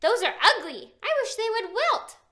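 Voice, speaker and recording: Woman reading as a grumpy high-voiced storybook boy. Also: big pitch swings, moderate pace, sharp tone, full character voice. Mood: disdainful. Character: grumpy, mean-spirited boy in a picture book. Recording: echoey room.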